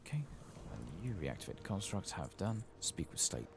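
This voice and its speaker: This man speaks very low, with plenty of gravelly fry. He keeps a soft, murmured ASMR delivery as a game streamer, casual and a bit sweary, yet his pitch wanders a good deal. Clear noise sits behind the voice. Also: very animated, moderate pace. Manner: muttering, casual, mildly sweary. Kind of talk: soft-spoken and whispered ASMR gaming commentary. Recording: clearly audible background noise.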